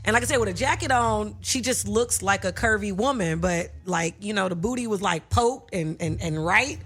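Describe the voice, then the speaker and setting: A woman with a husky voice chatting colloquially in casual group banter. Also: mid-range voice, moderate pace, fairly bright tone.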